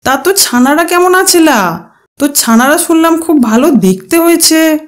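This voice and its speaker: High, swooping sly cartoon cat. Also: female voice, lively intonation. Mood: sly wheedling. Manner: drawn-out, wheedling. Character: sly, greedy female house cat, wheedling villain. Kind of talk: exaggerated children's cartoon dialogue.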